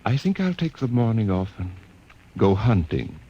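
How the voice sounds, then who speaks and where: A man's voice: lowish voice, big pitch swings, normal speed, mellow, husky, dull audio, exaggerated voice. A man playing a jealous, controlling, brusque Martian husband in a science fiction radio drama. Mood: curt, subdued. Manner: brusque, demanding, accusing.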